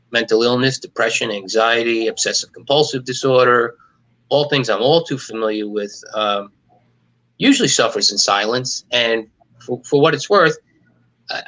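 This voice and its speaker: A man's voice: mid-range voice, rough recording. A middle-aged man from New York, a stand-up comedian, joking dryly and cynically in a casual interview over a video call. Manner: wisecracking, cynical, deadpan jokes.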